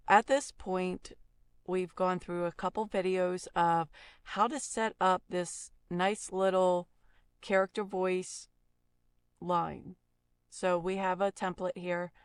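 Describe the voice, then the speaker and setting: Female software instructor, unhurried and plainspoken, casual step-by-step delivery, very dry close recording. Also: fairly low pitch, smooth, dry recording. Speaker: tutorial instructor and voice actor.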